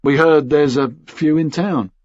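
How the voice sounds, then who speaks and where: A man's voice: fairly high pitch, a little slow, a little mellow, no echo, close to an everyday voice. Male narrator as guarded Brit, bluffing, offhand, evasive, thriller audiobook, dry slightly lo-fi audio. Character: wary British man bluffing his way through questioning.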